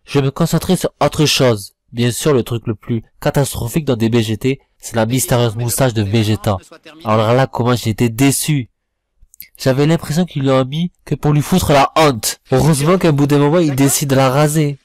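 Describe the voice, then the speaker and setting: A man's voice: medium pitch, normal speed, darkish tone. A man reviewing in a voice-over, giving informal critical commentary in a blunt, slangy, complaining manner. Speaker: video reviewer. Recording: voice-over with music behind.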